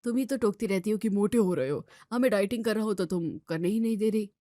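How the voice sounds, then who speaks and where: A woman voicing a calm, diet-obsessed husband in a family story, giving preachy and dismissive advice. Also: mid-range voice, quick pace, dry recording, studio quality, natural voice. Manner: dismissive, advising. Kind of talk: acted Hindi family story dialogue.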